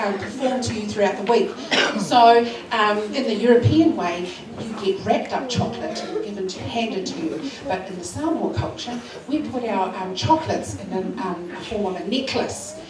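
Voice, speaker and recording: A woman's voice: medium pitch, normal speed, crowd noise. A woman with a New Zealand accent, addressing a school assembly and giving emotional thanks with frequent fillers. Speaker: school culture week organiser. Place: school hall. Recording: echoey hall, far from mic. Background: hall ambience and noise.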